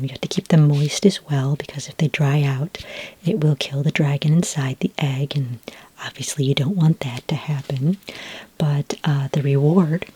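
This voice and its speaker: A woman playing a friendly, patient dragon ranch expert in a soft-spoken ASMR roleplay, whispery and breathy, explaining gently and hesitantly. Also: low-pitched, exaggerated voice. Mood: patient, instructive.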